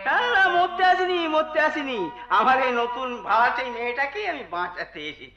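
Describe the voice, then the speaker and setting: An actor playing a greedy, menacing landlord who wheedles and repeats himself in Bengali folk theatre melodrama. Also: male voice, very high-pitched, big pitch swings, a little fast, highs cut off. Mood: scornful threatening. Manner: cackling, insistent, repeats his phrases. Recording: echoey, distant stage-play recording with music.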